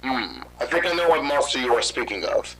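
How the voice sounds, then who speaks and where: An actor playing a calm government interviewer who speaks in a composed, formal way. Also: male voice, medium pitch, a little fast, crowd noise, lightly acted. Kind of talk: amateur horror audio drama.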